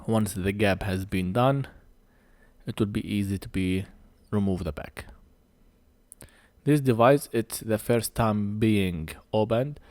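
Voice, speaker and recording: Slow, low-voiced man, non-native English speaker. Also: darkish tone, no echo. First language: not English. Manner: halting, short phrases with pauses. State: short of breath. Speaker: phone repair technician presenting a tutorial. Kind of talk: hands-on repair tutorial, unscripted commentary.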